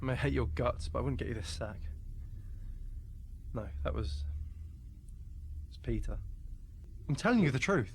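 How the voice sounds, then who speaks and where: Sneering, wildly expressive spiteful colleague. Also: male voice, medium pitch, normal speed, pauses often, slight background noise. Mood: spiteful, taunting. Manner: taunting, blunt. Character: sneering, spiteful male colleague turning indignant. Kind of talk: radio play acting.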